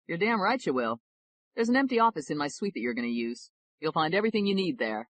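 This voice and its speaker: A woman's voice: low voice, very animated, fast talker, dry recording, voice pushed up. A woman voicing a brash, generous male defense lawyer who talks emphatically and blusters. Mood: emphatic.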